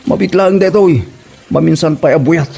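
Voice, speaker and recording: A man's voice: on the high side, normal speed, gravelly, music in the background. A man acting a grumbling, put-upon village husband with an Ilocano Filipino accent and an exasperated, scolding manner in a comedy drama.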